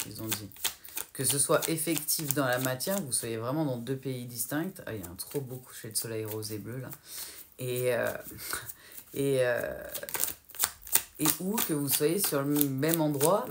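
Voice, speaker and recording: A woman's voice: very deep voice, noisy background. A woman from France giving a casual, rambling tarot guidance reading and hesitating often as she relays what her guides show her. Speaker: tarot card reader.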